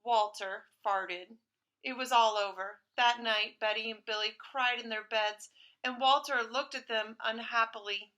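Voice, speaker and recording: A woman reading a storybook aloud as a teacher to pupils, expressive and dramatic in her storytelling. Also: a little high, measured pace, dull audio. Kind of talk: animated storybook read-aloud.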